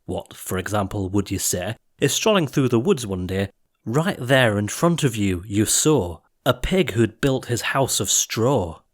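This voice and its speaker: Low-voiced man narrating storybook verse. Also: sing-song, unhurried. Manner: theatrical, rhythmic delivery. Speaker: audiobook narrator.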